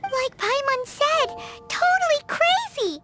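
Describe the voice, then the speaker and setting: A woman voicing a tiny, excitable fairy companion who talks about herself in third person. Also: very high-pitched, takes it slowly, heavily acted. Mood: excited. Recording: game cutscene with music behind.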